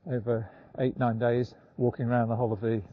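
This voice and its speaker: Muffled, husky older man. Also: mid-range voice, level tone, dry recording. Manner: unhurried, halting, chatty. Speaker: vlogger. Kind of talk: casual rambling vlog talk while walking. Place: outdoors, walking in snowy woods.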